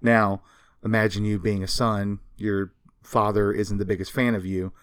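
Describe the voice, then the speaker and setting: A man's voice: on the low side, flat delivery, normal speed, clean tone, no room sound, clean studio sound. A man hosting a podcast, telling history in a casual, colloquial and explanatory way. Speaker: podcast host.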